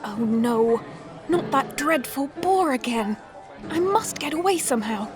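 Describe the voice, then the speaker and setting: Slow-spoken sardonic young lady. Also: high voice, music in the background, a crowd in the background. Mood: dread. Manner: deadpan, politely sarcastic. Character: reluctant, drily sardonic young lady avoiding suitor. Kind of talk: farcical audio drama acting.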